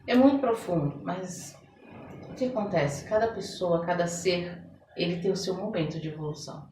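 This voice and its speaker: A woman's voice: lowish voice, moderate pace, darkish tone, slight background noise. A Brazilian woman speaking as an interviewed guest, explaining things conversationally and repeating phrases for emphasis. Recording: roomy echo, distant microphone.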